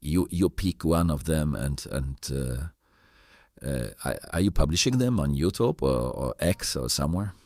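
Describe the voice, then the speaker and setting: A man hosting a casual chat, speaking haltingly and repeating short phrases. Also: rumbling low, animated, a little mellow, no room sound, clean studio sound. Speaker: podcast host.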